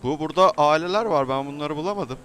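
A man chatting casually and joking while roleplaying in an online game, with animated banter. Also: on the high side, fast talker, slight background noise. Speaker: gaming video streamer.

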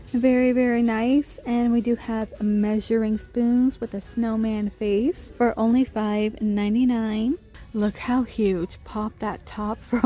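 A woman's voice: a little high, warm tone. A woman filming a shop-with-me walkthrough in a big store, chatting and gushing over cute finds, recorded on a handheld phone. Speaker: shopping vlogger. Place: large retail store, indoors. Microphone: handheld phone camera.

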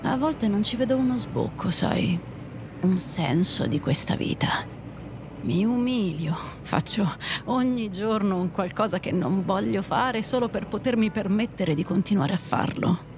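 Casting: female actor as jaded, bitter club dancer, blunt and sardonic, medium pitch, audio drama with faint background noise. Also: animated, a little slow. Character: bitter, brash, cynical female nightclub dancer. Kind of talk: Italian audio drama acting.

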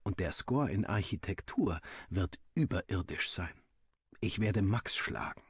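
A man reading a first-person story as a narrator, acting out the dialogue in an animated way. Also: low-pitched, husky, no room sound, studio quality. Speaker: audiobook reader.

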